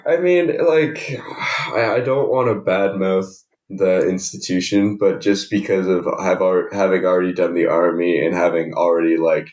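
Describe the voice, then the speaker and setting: Podcast guest: young Canadian male, low-pitched, informal and hedging, recorded off-mic in a reverberant room. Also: fairly even intonation, normal speed, darkish tone, highs cut off. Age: young adult. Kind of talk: casual interview chat. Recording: roomy echo, distant from mic.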